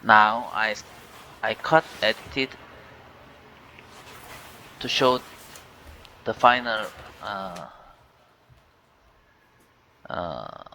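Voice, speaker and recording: A Korean-native man speaking English in a halting way, with many fillers and restarts, walking viewers through a tech issue. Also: on the low side, bright, forceful tone. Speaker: tech channel host. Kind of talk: casual screen-recorded walkthrough. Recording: dull lo-fi voice with faint hiss.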